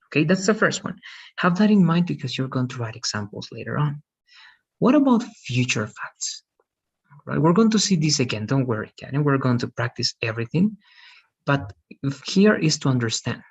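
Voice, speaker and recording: A man's voice: medium pitch, slightly rough, highs cut off. A man in his thirties teaching an online English class, patiently checking understanding with frequent "okay?" and "right?". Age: 30s.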